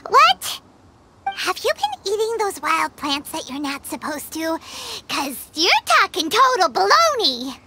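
A childlike game fairy, chirpy and cheeky. The voice is extremely high and squeaky, with lively swings. Words come a little slowly and deliberately. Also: female voice, heavy background noise, full character voice. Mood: baffled, cheeky. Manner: cheeky, squeaky, refers to herself by name. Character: tiny, chirpy, childlike floating fairy companion girl. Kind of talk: video game cutscene dialogue.

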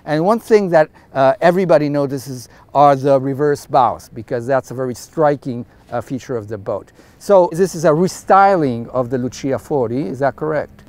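A middle-aged man hosting a boat review interview outdoors on a catamaran deck, talking in a relaxed, chatty way with many fillers and a lapel mic. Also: mid-range voice, normal speed, dry recording.

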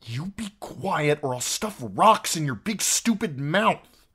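A man's voice: high voice, wildly swooping pitch, measured pace, voice pushed up, heavily acted. A man voicing a fierce, defiant young runaway girl who speaks in blunt, bristling commands for an audiobook. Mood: angry.

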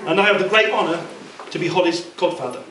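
A middle-aged man delivering a prepared, humorous best man speech at a wedding reception, telling anecdotes with measured pauses. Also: high-pitched. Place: indoor function room at a wedding reception. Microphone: handheld mic through a PA speaker, filmed from across the room. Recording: distant, echoey, very noisy room recording. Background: loud room noise and guests.

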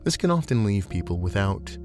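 A man voicing a genteel, soothing, playfully predatory snake-creature counselor in a measured, eloquent roleplay audio. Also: lowish voice, very animated, darkish tone, faint music behind. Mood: soothing, thoughtful.

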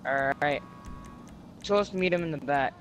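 A man's voice: a little high, normal speed, a bit bright, a little hiss or hum, poor audio. A man talking casually in slang and giving directions during a roleplay video game.

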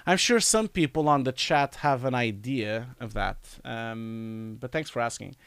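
Man in his forties, sick with a cold, nasal and scratchy, smooth close voice, dry home studio mic, hesitant live Q&A host. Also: mid-range voice, a little slow, a bit bright, dry recording. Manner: reads chat questions aloud, hesitant with many fillers. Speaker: live stream host answering chat. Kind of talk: casual live Q&A. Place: home study with bookshelves, indoors. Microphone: studio mic on boom, wearing headphones.

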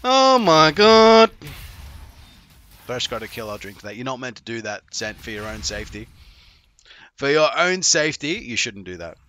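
A man's voice: mid-range voice, very animated, normal speed, long pauses, pushed and bright. A man in his thirties coaching on a stream, reviewing a player's replay with sarcastic, profane jokes, talking into a headset at home. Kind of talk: live-streamed replay commentary.

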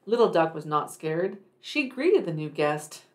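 A woman's voice: deep voice, sing-song, a little slow. A woman reading a children's picture book aloud expressively, with emphatic, dramatic phrasing for young listeners. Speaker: read-aloud storyteller for children.

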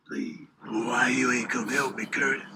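A man's voice: mid-range voice, little pitch movement, measured pace, pitched below the performer's own voice, close to an everyday voice. A man voicing a streetwise animal in a comic clip, whining and goading in colloquial talk. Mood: whining, challenging. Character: loud streetwise male animal, whining and confrontational. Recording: noisy compilation clip audio.

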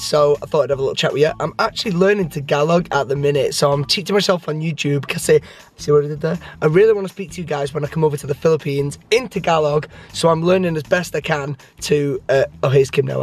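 A man's voice: a little high, fast, faint music behind. A young man co-hosting a vlog. He chats cheerfully and jokily, guessing word meanings aloud, into a camera held at arm's length. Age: young adult. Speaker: vlogger co-host. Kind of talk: casual vlog chat. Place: living room, then a car, then a shop.

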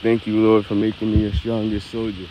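A man makes easy, joking remarks to himself as he walks a hot park path toward a temple. His voice sits a little low. The outdoor camera audio picks up plenty of background noise. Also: monotone, a little fast, dark tone, poor audio. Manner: joking, talks to himself while walking. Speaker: travel vlogger. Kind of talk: casual vlog commentary.

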